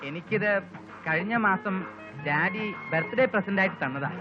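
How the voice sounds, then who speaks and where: A high-pitched comic man, played by a male actor talks with theatrical, mock-grand flourish. He is a smooth braggart and wheedler from a film comedy, on a dull lo-fi soundtrack with music playing behind him. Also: moderate pace, natural voice. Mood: flirtatious, showing off. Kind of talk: Malayalam film comedy dialogue. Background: prominent background music.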